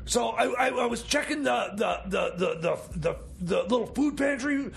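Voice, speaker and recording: A man's voice: very high-pitched, animated, normal speed, creaky, exaggerated voice. A man playing a frantic, boozy, scheming mechanic in improvised radio comedy, stammering and repeating words as he rambles. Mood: excited, scheming. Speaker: comedy sidekick on a radio show.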